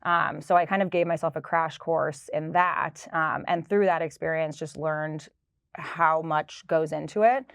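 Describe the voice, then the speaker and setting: A woman in her thirties with a low voice tells her work history in a smooth, storytelling way. She sounds relaxed and sure of herself, recorded close in a dry room. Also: measured pace, dry recording. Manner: fluent, storytelling, light laughs. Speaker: director of sustainability. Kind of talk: casual interview. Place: office.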